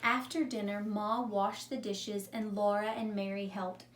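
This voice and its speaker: A woman's voice: medium pitch, little pitch movement, unhurried. A woman teacher reading a story aloud to young children, using simple wording and putting questions to her listeners. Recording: echoey room, distant mic, faint noise.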